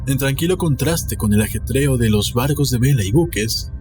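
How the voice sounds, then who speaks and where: A man's voice: mid-range voice, lively intonation, moderate pace. A man reading a scripted story in a dramatic narration style, with music behind him. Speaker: audiobook narrator. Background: background music.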